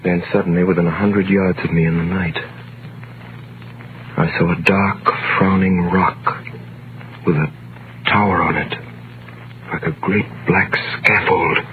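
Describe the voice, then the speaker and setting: A man's voice: sits low, fairly even intonation, unhurried, long pauses. A man playing a wrongly imprisoned young sailor, desperate and brooding, in intense vintage radio drama style. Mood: brooding despair. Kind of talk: 1930s-40s radio drama acting and narration. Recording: noisy, muffled vintage radio recording.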